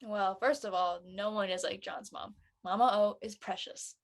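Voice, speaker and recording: A woman's voice: mid-range voice, steady tone, moderate pace, natural voice. A woman playing an earnest, explanatory teenage girl who talks in casual slang in a drama read. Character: earnest churchgoing teenage girl, preachy friend.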